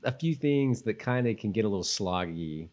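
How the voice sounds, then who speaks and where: A man co-hosting a podcast in casual chat, talking in an informal, halting way and often repeating his words. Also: lowish voice, expressive, a little mellow. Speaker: podcast co-host.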